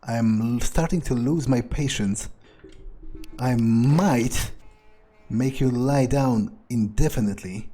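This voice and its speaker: A cruel, weary vampire thinking aloud, played by a man roleplaying a video game. His voice is dark and husky. He speaks very slowly, with impatient menace. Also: mid-range voice. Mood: irritated, threatening. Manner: slow, brooding, musing aloud with menace.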